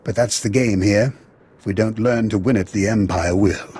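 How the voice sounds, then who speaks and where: An actor playing a pragmatic, principled military officer in a video game, measured and earnest. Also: male voice, fairly low pitch, fairly even intonation, darkish tone, slight background noise, lightly acted. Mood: resolute.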